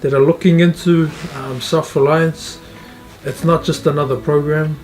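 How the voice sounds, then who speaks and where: A man's voice: fairly high pitch, steady tone, moderate pace, a little mellow, faint music behind. A middle-aged man, earnestly sharing his experience in an interview, often repeating and restarting phrases. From Tonga, raised in New Zealand. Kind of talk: testimonial interview.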